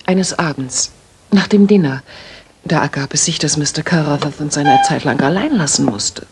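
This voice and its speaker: An actress playing a proper young Victorian lady in a radio play, polite and measured, with a husky, breathy voice. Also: sits low, lively intonation, darkish tone, slight background noise. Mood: reserved, confiding. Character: proper, modest young Victorian lady client, firm when pestered. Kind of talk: dramatic German radio play acting.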